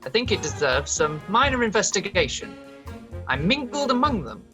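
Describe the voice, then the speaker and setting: A woman's voice: medium pitch, very animated, moderate pace, music in the background, lightly acted. A woman playing a cool, sardonic sleuth who narrates a comedy radio play, polite and wry. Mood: wry, confiding.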